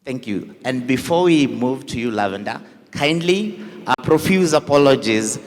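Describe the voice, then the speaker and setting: A man introduces panelists from a conference stage in a courteous, formal way. His voice sits at an ordinary middle pitch. The sound carries a long hall reverb. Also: animated, normal speed. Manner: formal, polite, repeats "kindly". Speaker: panel moderator. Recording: echoey hall.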